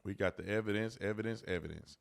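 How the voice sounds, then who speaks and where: A man's voice: fairly low pitch, fast, vocal fry. An American man hosting an informal, emphatic commentary, repeating phrases to drive his points home. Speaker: host commentator, former police officer.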